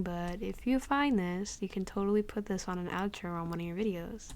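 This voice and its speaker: A woman's voice: medium pitch, a little mellow. A woman leaving a casual recorded message in a dry, teasing way.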